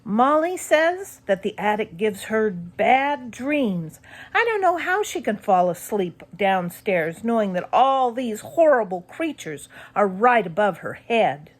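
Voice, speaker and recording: Slow, animated woman narrating spooky story. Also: medium pitch. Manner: expressive, suspenseful. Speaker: audiobook narrator.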